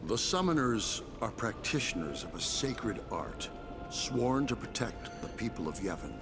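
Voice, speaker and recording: A solemn male temple priest from a video game. His voice is low, with sweeping, earnest intonation and a measured ceremonial delivery. Music plays prominently behind him. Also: big pitch swings. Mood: reverent. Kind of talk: formal video game voice acting.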